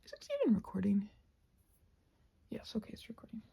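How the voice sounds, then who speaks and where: A woman with a soft, breathy voice, often close to a whisper, musing aloud in a playful, whimsical ASMR ramble as she crafts. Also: medium pitch, sing-song, a little fast.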